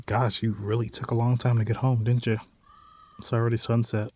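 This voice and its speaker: A man's voice: medium pitch, flat delivery, a little fast, darkish tone, no echo. A man hosting a let's play of a visual novel, reading text aloud and adding informal, wry asides. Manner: informal, wry side comments on what is read.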